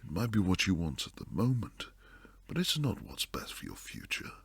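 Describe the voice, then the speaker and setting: A man playing a protective, devoted bodyguard and secret lover in an intimate boyfriend roleplay, low and husky, speaking earnestly close to the mic. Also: wildly swooping pitch, moderate pace, no room sound, full character voice. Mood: pleading, tender. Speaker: audio roleplay performer. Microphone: close, dry studio mic.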